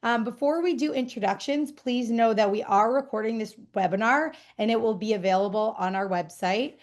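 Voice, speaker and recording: Female webinar moderator, voice on the high side, delivering semi-scripted introductions. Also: a little fast.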